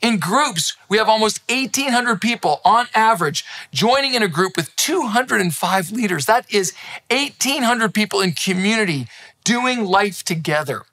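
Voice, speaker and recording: A man's voice: high voice, moderate pace. A man in his fifties, a pastor grown up in Thailand, giving an earnest, encouraging sermon from a living room. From grew up in Thailand, now in Canada. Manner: earnest, encouraging, animated hand gestures. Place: living room with Christmas decor, indoors. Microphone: close camera, seated.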